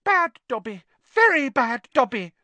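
Tiny squeaky house elf for an audiobook, anxious n pitiful, begging, way up high. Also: female voice, big pitch swings, measured pace, sharp tone, no room sound, heavily acted. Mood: fearful, pleading. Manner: pleading, deferential, refers to himself in third person. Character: small servile house elf, anxious, pitiful, self-punishing. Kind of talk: audiobook character voice.

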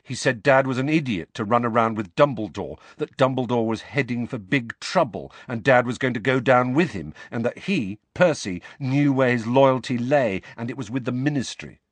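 A man's voice: mid-range voice, normal speed, hardly any pauses, dry recording, pitched above the performer's own voice, lightly acted. A man reading an audiobook, voicing a glum teenage boy who talks in a casual, blunt, slangy way. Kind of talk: audiobook character voice.

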